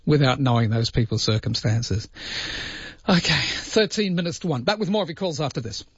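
Aussie radio guy chatting away, husky voice, kinda dull broadcast sound. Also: medium pitch, expressive, fairly quick, no room sound. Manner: chatty, musing, talks to callers. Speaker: radio talkback host. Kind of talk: casual talkback radio chat. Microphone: studio microphone. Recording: muffled broadcast audio.

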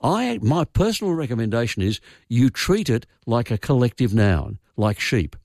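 A man's voice: mid-range voice, sing-song, moderate pace, a little mellow, dry recording. An older Australian man on a radio phone-in, explaining word origins in a wry, opinionated way. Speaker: language expert guest. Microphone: studio microphone. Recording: dry studio sound.

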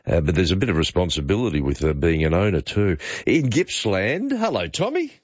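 A man with an Australian accent hosting talkback radio, chatting warmly with callers and taking them by suburb. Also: low-pitched, very animated, brisk, dry recording, highs cut off.

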